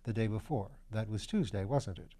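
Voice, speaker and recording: A man reading a storybook as a calm, courteous gentleman detective, asking patient, gently coaxing questions in a measured way. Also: on the low side, natural voice. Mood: quietly triumphant.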